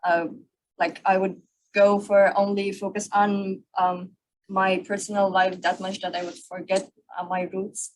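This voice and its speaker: A young woman from Afghanistan, a student on a panel, answering with many pauses and restarts. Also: mid-range voice, flat delivery, slight background noise, low-quality recording. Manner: hesitant, many fillers and restarts.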